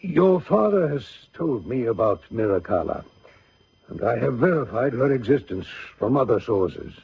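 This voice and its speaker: A man's voice: mid-range voice, very animated, normal speed, gravelly. An actor playing a grieving old general who tells his story solemnly and dramatically in a radio drama. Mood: grave, haunted. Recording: dull, hissy old radio recording.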